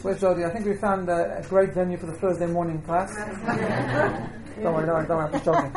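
A woman giving an informal welcome speech as an organiser, joking and somewhat halting as she talks. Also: lowish voice. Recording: echoey room, faint background noise.

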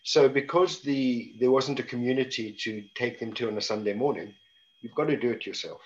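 A man's voice: medium pitch, fairly quick. A middle-aged man with a South African accent, speaking as a guest on an online panel in a wry, conversational way with frequent restarts. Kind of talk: reflective panel talk. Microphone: video call. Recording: dull lo-fi video call audio.

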